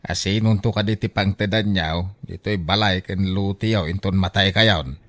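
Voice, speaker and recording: A pushy youngest son in a radio drama. His voice sits fairly low, with an Ilocano accent. He is argumentative and keeps insisting on his claim. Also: fairly even intonation, normal speed, a bit bright. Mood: insistent. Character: pushy youngest son squabbling with his sister over inheritance.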